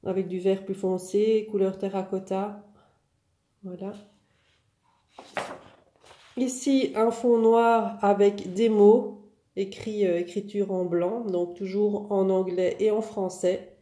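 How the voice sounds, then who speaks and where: A woman with a head cold talks through new paper collections for her online craft shop, chatty and full of fillers. Her voice is very dark and mellow. The room adds a noticeable echo. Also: mid-range voice, fairly even intonation, takes it slowly, pauses often. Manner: chatty, many "euh" and "donc" fillers. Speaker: online craft shop owner presenting products. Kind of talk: casual product showcase.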